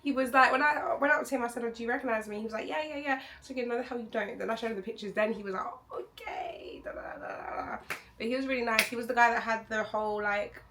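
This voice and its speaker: A young woman vlogger, hoarse from losing her voice, chatting to camera in a rambling, candid way. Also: a little high, fast, a bit bright, slight background noise. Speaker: travel vlogger. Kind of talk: casual chat to camera.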